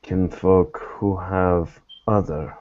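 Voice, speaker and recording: A low, mellow-voiced, guarded investigator played by a man in a tabletop roleplaying game. He picks his words slowly and formally, weary and deliberate. The recording is dull and dry. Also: fairly even intonation. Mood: grave, measured. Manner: slow, halting, deliberate, formal phrasing. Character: weary, guarded male private investigator in secret fellowship.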